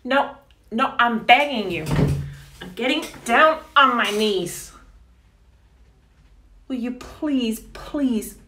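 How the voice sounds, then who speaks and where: A woman's voice: on the high side, bright, forceful tone, distant mic, slight background noise. An actress playing a cold, self-declared mean woman in a melodramatic, pleading stage monologue. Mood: desperate begging. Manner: pleading, melodramatic, deliberate with hesitations. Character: cold, self-declared bitch begging not to be proposed to.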